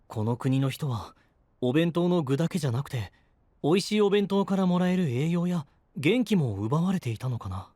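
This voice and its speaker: A man voicing a gentle, perceptive young male knight in an anime voice drama, calm and encouraging. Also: a little high, normal speed, no room sound. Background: music.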